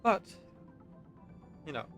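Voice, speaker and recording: Male streamer, casual gameplay commentary, halting and reflective, game music behind. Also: a bit bright. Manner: halting, musing aloud.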